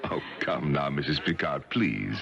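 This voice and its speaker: A deep, gravelly, pompous banker. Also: male voice, animated, a little slow, a bit bright, exaggerated voice. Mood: brusque, imperious. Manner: pompous, brusque, commanding. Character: blunt, skeptical, domineering wealthy male British banker. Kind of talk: 1970s radio drama acting. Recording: dull 1970s radio broadcast.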